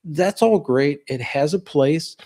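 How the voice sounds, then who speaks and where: Mellow sixty-year-old man, casual livestream host. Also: fairly high pitch, slow pace. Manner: plainspoken, folksy, rambling with asides.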